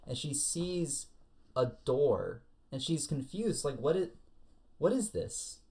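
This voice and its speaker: A man co-hosting a casual, comedic anime podcast, telling stories in an animated, exaggerated way. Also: a little high, slow pace. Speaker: podcast co-host.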